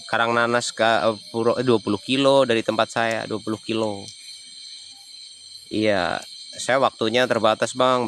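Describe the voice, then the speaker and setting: A man's voice: lowish voice, a bit bright, slight background noise. A man from Banyumas with a Javanese accent, chatting casually on a livestream and answering viewers' comments. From Banyumas, Central Java. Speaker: livestreaming explorer.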